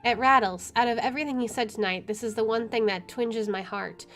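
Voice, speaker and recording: A woman's voice: fairly high pitch, a little fast, a bit bright, soft background music. A woman hosting a gaming video, reading story text aloud and adding casual commentary.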